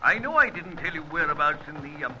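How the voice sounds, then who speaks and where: A flustered British gentleman spymaster on a noisy radio link. His voice is high, plummy and stammering, in the manner of a vintage adventure serial. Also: big pitch swings, fairly quick. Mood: puzzled. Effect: radio transmission. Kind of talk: old-time radio adventure serial acting.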